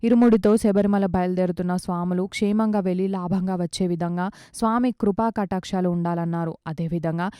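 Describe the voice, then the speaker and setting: A woman's voice: fairly low pitch, steady tone, a little fast, mellow, clean tone, dry recording, studio quality. A woman reading scripted news as a news reader.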